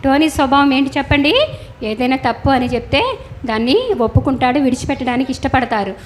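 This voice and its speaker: A woman with a high voice hosts a children's puppet lesson as a Sunday school teacher. She instructs and keeps asking questions, with noticeable ambient noise behind her. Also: expressive, brisk. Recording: clear background noise, ambient sound.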